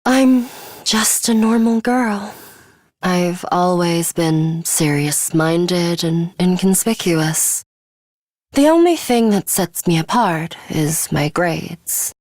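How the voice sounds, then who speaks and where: A composed schoolgirl fighter from a video game, arrogant and quietly bloodthirsty. Her voice is slightly low and very unhurried, with formal, exact phrasing and a faintly sinister edge. The sound is close and dry. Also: no echo. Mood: composed, aloof. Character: serious, coolly arrogant young schoolgirl fighter with a bloodthirsty streak. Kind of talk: English video game voice acting, measured monologue.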